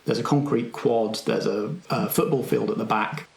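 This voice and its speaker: A man's voice: lowish voice, moderate pace, vocal fry, echoey room. A man narrating a tabletop roleplaying game casually and off the cuff as game master.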